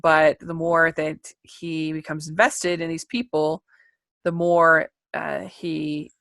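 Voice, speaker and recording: Low-voiced woman chatting about film. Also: flat delivery, takes it slowly, vocal fry, fairly bright tone, no echo. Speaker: podcast co-host reviewing animated films. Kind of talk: casual film discussion.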